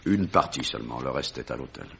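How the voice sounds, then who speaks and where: An actor playing a blunt, proud big-game hunter and explorer in a French radio drama. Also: male voice, very deep voice, lively intonation, fast, creaky, a bit bright, breathy edge, full character voice. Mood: earnest, guarded. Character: gruff, imposing bearded lion hunter and African explorer. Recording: dull, muffled vintage radio recording.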